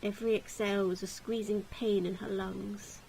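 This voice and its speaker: A woman's voice: mid-range voice, level tone, normal speed, creaky, mellow, poor audio. Woman narrating dramatically, slight background noise. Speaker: narrator, game player reading aloud.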